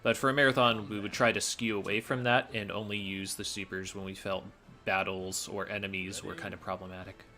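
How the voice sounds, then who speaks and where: A man commentates on a video game run, explaining as he goes. His voice sits somewhat low yet has a bright, forward edge, with light music underneath. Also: flat delivery, a little fast. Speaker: speedrun commentator.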